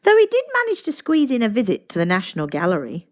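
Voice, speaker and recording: Animated woman reading a children's storybook. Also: medium pitch, fast talker. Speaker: read-aloud narrator.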